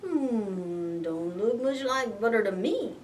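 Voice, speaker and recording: A woman's voice: medium pitch, lively intonation, slow, recorded from a distance, noisy background. A woman voicing nosy, skeptical storybook animals with a drawling, folksy manner. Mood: nosy, doubtful.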